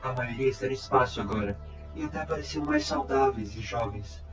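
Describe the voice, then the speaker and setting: A man playing a calm, courteous robot time traveler in an audio drama, speaking in formal, measured reports. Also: medium pitch, gravelly, exaggerated voice. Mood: calm, factual. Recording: echoey, dull amateur sound, music behind.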